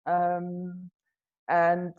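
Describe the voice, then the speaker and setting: Afrikaans-speaking woman with a lowish voice. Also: completely flat delivery, extremely slow, narrow, tinny audio. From South Africa. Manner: hesitant, many fillers and restarts. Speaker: wine tour business owner. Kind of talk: interview answers.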